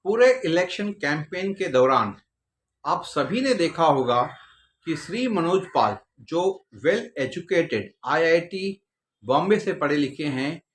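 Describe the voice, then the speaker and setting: An Indian man delivers political commentary in a fairly high voice. He speaks very slowly and deliberately, breaking his sentences into short phrases. The sound is strongly roomy and echoey. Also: a bit bright. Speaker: news commentator. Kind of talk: spoken political commentary to camera.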